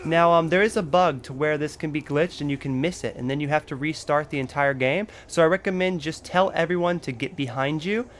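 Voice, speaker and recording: A man's voice: a little high, brisk, hardly any pauses, slight background noise. A man giving a casual game walkthrough, explaining each step informally as the host. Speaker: gaming video host. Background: game music.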